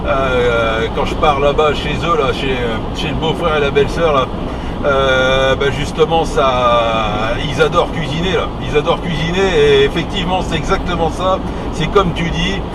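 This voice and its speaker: A man's voice: fairly high pitch, moderate pace, recorded from a distance, very noisy. A man from northern France chatting casually over CB radio from his car, rambling with many fillers and restarts. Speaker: CB radio operator and video maker. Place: car on a road trip.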